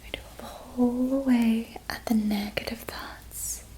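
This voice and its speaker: A young woman whispering a gentle ASMR reiki relaxation in soft, slow, repetitive phrases. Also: on the high side, steady tone. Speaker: ASMR creator.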